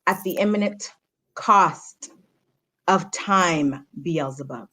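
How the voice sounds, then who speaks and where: A woman's voice: fairly low pitch, takes it slowly, sharp tone, exaggerated voice. An actress playing a formal, commanding woman running a meeting in a streamed stage comedy. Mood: stern. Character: formal, businesslike woman in charge of an infernal meeting. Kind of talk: stage play acting over video stream.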